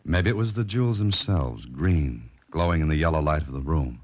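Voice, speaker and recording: Low-voiced hard-boiled American radio sleuth. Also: male voice, expressive, normal speed, a little mellow, muffled recording. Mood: wry narration. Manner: clipped, wry, hard-boiled. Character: tough wry male amateur sleuth, narrating his own case. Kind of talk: 1940s radio mystery acting and first-person narration. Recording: old radio recording with music.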